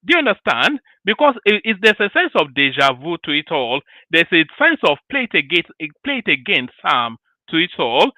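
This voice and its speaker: A male host delivers opinionated commentary in an emphatic, rhetorical way, circling back to the same phrases. His voice sits a little high and swings widely in pitch. The sound is dry and close, with no room echo. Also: bright, forceful tone.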